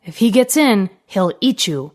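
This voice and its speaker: A woman's voice: medium pitch, lively intonation, measured pace, a little mellow, natural voice. A woman voicing a caring, protective mother goat in a storybook, with a motherly, instructive way of talking. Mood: cautioning.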